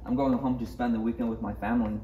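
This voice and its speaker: A man's voice: mid-range voice, fairly even intonation, fast, lightly acted. A man playing a grumpy, fed-up character in a parody table read. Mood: indignant. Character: grumpy, fed-up male body double's bodyguard. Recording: dull echoey noisy recording, distant.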